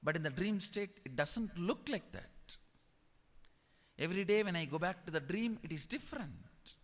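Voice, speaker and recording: A man with an Indian accent delivers a spiritual sermon in a slightly high voice that swings widely in pitch. He is emphatic and instructing, repeating key phrases for his listeners. The sound rings with the long reverb of a large hall. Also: expressive, normal speed. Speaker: spiritual teacher giving a satsang discourse. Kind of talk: sermon-like spiritual discourse to an audience.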